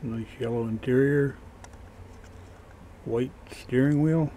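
Slow, even-toned man chatting casually. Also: medium pitch, slight background noise, low-quality recording. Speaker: toy collector showing his finds. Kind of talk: casual show-and-tell chat.

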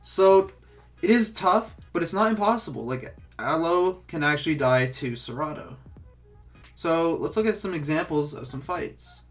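A man's voice: a little high, lively intonation, moderate pace, soft background music. A young man with a stuffed-up, congested voice, casually talking through a game tutorial with lots of filler words. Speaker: gaming tutorial host.

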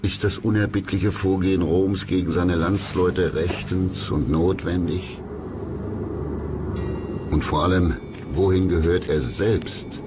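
Very deep-voiced male documentary narrator. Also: steady tone, takes it slowly, heavy background noise. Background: music behind the voice.

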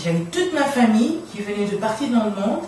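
A woman's voice: fairly high pitch, big pitch swings, brisk, mellow. Congolese-born woman chatting in an echoey room. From Democratic Republic of the Congo. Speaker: interview guest. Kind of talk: casual storytelling interview. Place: small radio studio room, indoors. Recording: very echoey room, distant, faint noise.

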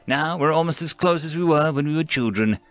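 A man voicing a calm, shrewd woman prisoner in a story, soothing and gently probing. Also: fairly high pitch, a little fast, no room sound, soft background music, voice pushed up, lightly acted.

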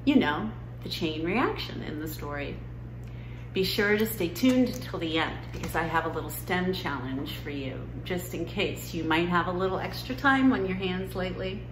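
A woman's voice: lowish voice, expressive, normal speed. A middle-aged woman talking to children as an educational story time presenter, recorded indoors in a living room. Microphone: camera a few feet away. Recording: roomy room sound, faint noise.

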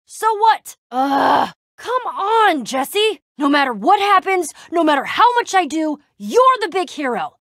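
A woman's voice: very high-pitched, fairly bright tone, dry recording, clean studio sound, heavily acted. A woman playing a plucky, proud young female adventurer in a video game, with a casual, slangy, sardonic delivery.

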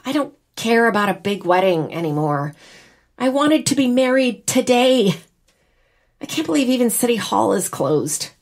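An actress playing a wistful, teasing young bride in a naturalistic audio drama. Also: female voice, fairly high pitch, big pitch swings, measured pace, heavily acted. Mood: wistful frustration. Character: wistful, teasing young bride whose wedding was cancelled.

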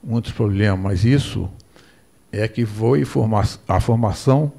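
Older man lecturing at a lectern, gravelly. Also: fairly low pitch, mellow. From Brazil. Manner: measured, explanatory, asks rhetorical questions, asks for the next slide. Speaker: guest lecturer. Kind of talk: history lecture to an audience. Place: lecture hall with projector screen, indoors. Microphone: lectern microphone.

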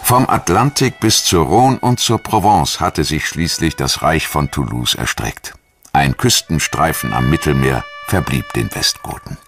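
Very deep-voiced male documentary narrator. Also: a bit bright. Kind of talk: scripted history documentary narration.

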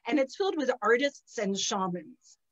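A woman's voice: fairly high pitch, moderate pace, lo-fi sound. Sniffly, chatty woman on a video call. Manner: chatty, laughs often, animated storytelling. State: a little sniffly. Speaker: psychic channel host. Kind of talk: casual video call chat. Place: outdoor terrace with potted plants. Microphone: laptop webcam on a video call.

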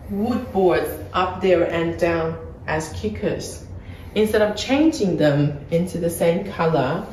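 A woman gives a casual, explanatory tour of a renovated kitchen, pointing things out as she goes. Her voice sits a little low and carries from a few feet off the phone mic. The bare room adds a noticeable echo. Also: darkish tone, recorded from a distance, a little hiss or hum. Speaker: property flipper showing a renovation. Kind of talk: casual home tour walkthrough. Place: bright empty renovated kitchen, indoors. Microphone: phone camera held a few feet away. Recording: echoey room, voice a bit off-mic.

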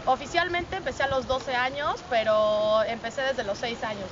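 A woman's voice: on the high side, steady tone, normal speed. A young woman with a Mexican accent, answering interview questions earnestly with some restarts. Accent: Mexican Spanish. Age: young adult. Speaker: soccer player. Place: outdoors by a pond. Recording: dull lo-fi sound, crowd and noise.

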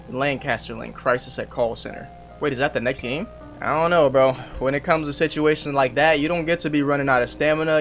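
A man in his twenties doing casual, slangy reaction commentary as he plays a horror game, tossing out joking asides. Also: medium pitch. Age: 20s. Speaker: gaming video host. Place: indoor gaming setup. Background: game music.

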